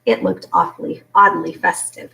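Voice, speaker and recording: A woman reading a children's book aloud in an expressive storytelling style. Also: normal speed. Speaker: story reader. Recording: roomy echo, distant from mic.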